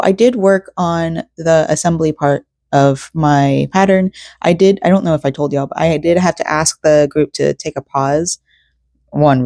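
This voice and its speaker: A woman's voice: deep voice, mellow, dry recording, clean studio sound. A tired young woman, born in South Korea and raised in Florida, chatting in a rambling way with many fillers while she crochets at home. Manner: rambling and chatty, with frequent fillers and self-corrections. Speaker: vlogger. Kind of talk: casual personal vlog chat while crocheting.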